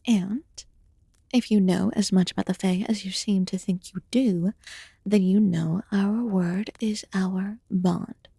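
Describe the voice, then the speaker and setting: A woman voicing an aloof, curious fae witch healer in a fantasy ASMR roleplay, speaking formally and softly, often dropping into a whisper. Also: mid-range voice, fairly even intonation, vocal fry, breathy edge, no room sound, clean studio sound, full character voice. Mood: calm, solemn. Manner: formal, archaic, deliberate phrasing.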